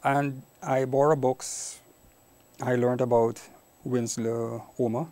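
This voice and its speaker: A man's voice: medium pitch, little pitch movement, slow pace, a little mellow. A middle-aged man from Guyana with a Caribbean accent, giving reflective answers as an interview guest. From Guyana, later Trinidad and Tobago. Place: small indoor TV studio. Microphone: lapel mic.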